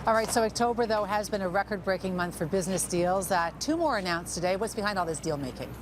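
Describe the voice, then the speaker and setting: A woman's voice: mid-range voice, expressive, quick pace, noisy background. A middle-aged Canadian woman anchoring the news in an informal, chatty interview style. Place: newsroom studio.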